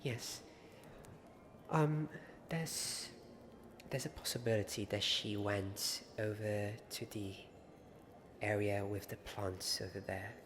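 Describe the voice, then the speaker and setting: Guy acting a gentle helpful character, asmr roleplay, lowish soft voice, kinda hesitant, noisy behind. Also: fairly even intonation, takes it slowly. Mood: musing, helpful. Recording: clear background noise.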